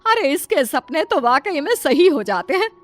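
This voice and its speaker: An excitable mother-in-law, played by a woman, very high in pitch. It is acted family-story dialogue with music quietly behind. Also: moderate pace. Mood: impressed. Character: excitable mother-in-law won over by dreams.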